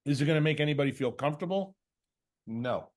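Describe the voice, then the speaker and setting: A man with a fairly high voice speaks without a script, leading a question session. He is blunt and confrontational, swears freely, and scolds his listener with pointed rhetorical questions. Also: lively intonation, quick pace, a little mellow. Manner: uses mocking imitations and rhetorical questions. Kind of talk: blunt unscripted lecturing in answer to a question.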